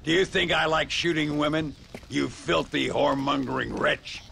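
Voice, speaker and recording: An actor playing a grizzled old gunslinger with an American Western accent, wry and world-weary, spinning sardonic folksy tales in a video game cutscene. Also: male voice, fairly high pitch, steady tone, moderate pace, sharp tone, a little hiss or hum, heavily acted. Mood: angry, gruff. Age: older. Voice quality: gravelly.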